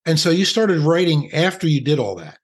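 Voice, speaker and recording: A man's voice: a little high, big pitch swings, fairly quick. A man from New Jersey hosting a podcast interview, friendly and joking, asking long, rambling questions. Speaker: podcast host.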